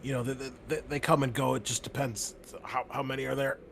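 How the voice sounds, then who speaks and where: A man running a tabletop game as game master, talking in halting, stammering bursts. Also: mid-range voice, level tone, vocal fry, background noise.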